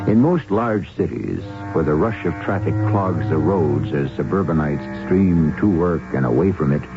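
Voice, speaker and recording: A man with an American accent narrating a 1970s radio mystery as its ominous, theatrical host, phrasing deliberately. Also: extremely low pitch, lively intonation. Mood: measured. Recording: muffled phone-like old radio, music underneath. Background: music.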